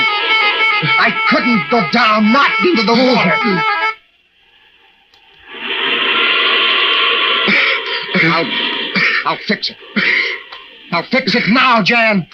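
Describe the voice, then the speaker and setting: A muffled old radio drama with loud music behind. A man acts an anguished lighthouse keeper with a high voice, recounting a storm at sea in intense, breathless narration. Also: close to an everyday voice. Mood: panicked. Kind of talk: old-time radio drama acting, first-person narration.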